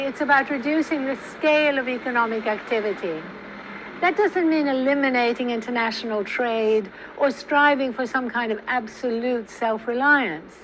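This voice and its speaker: A woman giving steady, clear, scripted documentary narration. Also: high-pitched, poor audio. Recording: film soundtrack with background noise.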